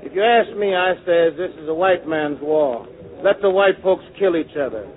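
A man's voice: fairly high pitch, lively intonation, moderate pace, close to an everyday voice. An actor playing a cynical, resentful, slangy agitator pressing his point in a 1940s radio drama. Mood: cynical, insistent. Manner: slangy, insistent. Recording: tinny, faintly noisy old radio.

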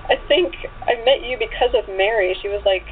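A woman's voice: a little high, brisk. Friendly woman on a thin phone line. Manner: friendly, effusive. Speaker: interview guest, author and actress. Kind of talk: casual interview chat. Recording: tinny phone line with faint noise.

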